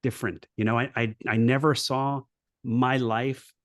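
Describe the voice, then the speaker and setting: A man's voice: mid-range voice, steady tone, moderate pace, no echo. Earnest man telling his story, dry sound. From Los Angeles, USA. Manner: earnest, reflective, with frequent restarts and "you know". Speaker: guest, coach and former professor. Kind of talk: conversational interview storytelling.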